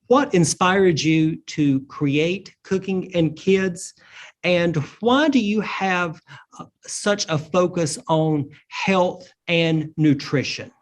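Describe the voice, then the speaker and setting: A man hosting a broadcast interview in a formal, measured and polished presenter's delivery. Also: high voice. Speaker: interview host.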